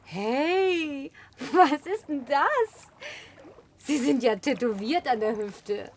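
Bossy fussy young lady, teasing and prim, bit high-pitched. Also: animated, slow pace, full character voice. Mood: playful. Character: lonely young woman, bossy fussy hostess. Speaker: dubbing actress. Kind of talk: German film dub.

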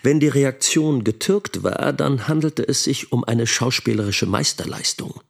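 A man playing a sharp, indignant middle-aged father and teacher narrating in a radio drama. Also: medium pitch, measured pace, creaky, breathy edge.

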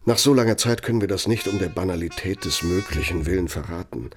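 An actor in a radio drama playing an aging, bitter Nazi architect, speaking in a reproachful, deliberate, rhetorical manner. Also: male voice, low voice, soft background music. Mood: pleading, resolute. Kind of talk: German radio drama monologue.

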